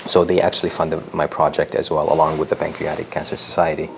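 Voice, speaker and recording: Deep, gravelly-voiced young man. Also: flat delivery, fast talker, a little hiss or hum, rough recording. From Lebanon. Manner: hesitant, many ums and restarts. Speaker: PhD researcher giving a talk. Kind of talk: public science talk.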